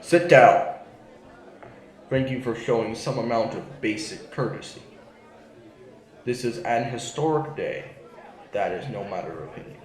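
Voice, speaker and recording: An actor playing a formal, ruthless and weary council sovereign in an audio drama, speaking in deliberate official phrases. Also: male voice, medium pitch, lively intonation, lightly acted, low-quality recording. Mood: strained, exasperated.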